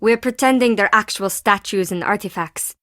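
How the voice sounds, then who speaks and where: A woman's voice: mid-range voice, normal speed, a bit bright, dry recording, studio quality, close to an everyday voice. A woman playing an intense, volatile artist in an adventure game, speaking in a dramatic, emphatic way. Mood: insistent. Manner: dramatic, emphatic, abrupt shifts.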